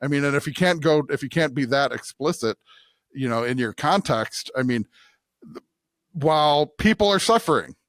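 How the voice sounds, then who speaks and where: A man's voice: fairly high pitch, lively intonation, moderate pace, fairly bright tone, no echo, clean studio sound. An American man co-hosting a casual Bible discussion podcast, making his points emphatically in broken, restarted phrases. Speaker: podcast co-host discussing scripture.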